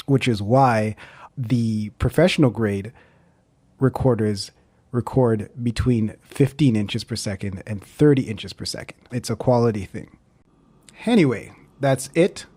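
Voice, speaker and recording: Man, medium pitch, dark relaxed tone, measured explanatory delivery, informal vlog presenter, very dry sound. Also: no echo.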